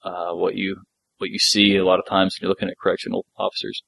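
A man with a fairly low voice talks through an episode as a podcast co-host. He explains in detail and thinks aloud, casually, in a dry, close recording. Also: level tone, fast talker, creaky, fairly bright tone, dry recording, low-quality recording.

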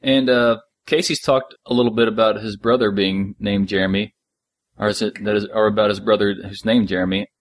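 Lower-voiced man in a dry, close recording. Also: steady tone, a little fast, no room sound. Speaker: podcast co-host. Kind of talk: casual discussion of an audio drama.

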